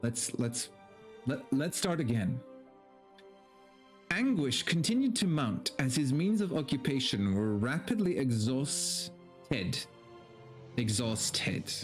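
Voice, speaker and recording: A man's voice: mid-range voice, very animated, takes it slowly, pauses often, faint music behind. A man streaming a dramatic story reading, with theatrical narration and casual, mock-exasperated asides.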